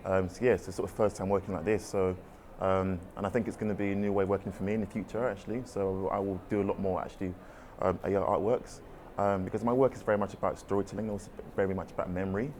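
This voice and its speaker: Artist guy, deep voice, talks fast, lots of sort of and kind of. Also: steady tone, a little mellow, a little hiss or hum. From London, England. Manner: rambling, hedging with "sort of" and "kind of". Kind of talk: casual interview answers. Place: art exhibition space.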